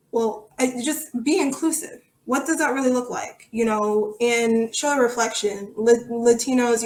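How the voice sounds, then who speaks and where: A woman's voice: fairly high pitch, a little mellow. Echoey room, woman guest on a podcast talking straight, really emphatic, chatty interview answers. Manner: outspoken, emphatic.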